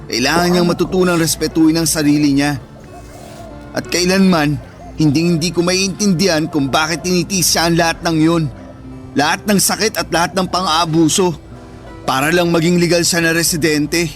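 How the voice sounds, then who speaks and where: An actor playing a protective, caring older brother in an emotional radio drama, speaking earnestly and emphatically. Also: male voice, on the high side, fairly even intonation, normal speed, soft background music, natural voice. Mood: firm, earnest. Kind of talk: radio drama acting in Tagalog.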